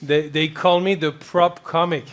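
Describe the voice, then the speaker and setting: A man's voice: a little high, level tone, measured pace. French-accented man doing stand-up. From France. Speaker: comedian and violinist. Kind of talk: stand-up comedy.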